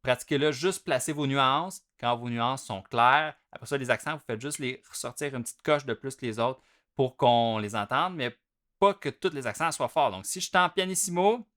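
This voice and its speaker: A young man with a Québécois French accent explains drum reading exercises in a relaxed, chatty way and chants note rhythms aloud, loud on the accents and soft between. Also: mid-range voice, normal speed. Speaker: drum teacher. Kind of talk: casual instructional explanation.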